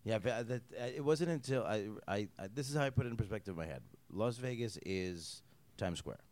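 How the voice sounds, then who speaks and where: A man's voice: on the low side, fairly quick, darkish tone, no room sound. A man hosting a podcast in casual interview chat, rambling loosely with many restarts and casual swearing. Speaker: podcast host. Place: hotel venue at a convention in Las Vegas.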